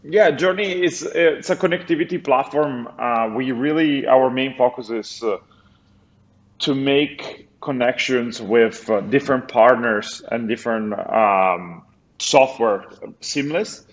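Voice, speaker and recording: A man's voice: medium pitch, measured pace. A man with an Italian accent, a company founder who is a guest on a podcast, speaking thoughtfully with many hesitations over a remote call. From Italy. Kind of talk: casual interview chat.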